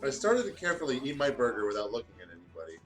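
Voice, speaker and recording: A man's voice: medium pitch, big pitch swings, racing, a bit bright. A man reading fan fiction aloud in a casual style as a co-host of a gaming video.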